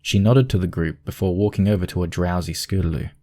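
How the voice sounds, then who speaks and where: A man's voice: low voice, quick pace, mellow. A man narrating a scripted piece of fiction as a storyteller.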